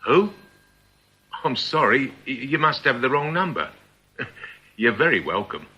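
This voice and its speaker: An actor playing a mild, muddled crook in a comic radio drama, apologetic and over-courteous. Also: male voice, mid-range voice, big pitch swings, measured pace, bright, forceful tone, muffled recording.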